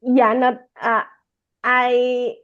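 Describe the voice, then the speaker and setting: A woman's voice: on the high side, level tone, drawn-out words, a bit bright, lo-fi sound. A woman with an Indonesian accent introducing herself politely and hesitantly over a thin-sounding video call. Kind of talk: informal self-introduction.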